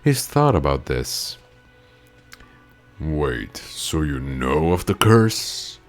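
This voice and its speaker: A man's voice: extremely low pitch, sing-song, very slow, faint music behind. A man whose first language is Italian, reading game dialogue aloud in a let's play, playful and swearing casually. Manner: playful, laughs at his own lines, swears casually. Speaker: gamer.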